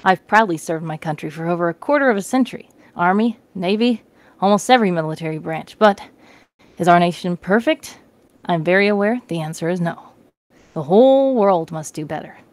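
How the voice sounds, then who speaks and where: A woman's voice: fairly low pitch, expressive, brisk, no echo, close to an everyday voice. Woman as stern male army veteran, dutiful, storybook character, dry sound. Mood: grave.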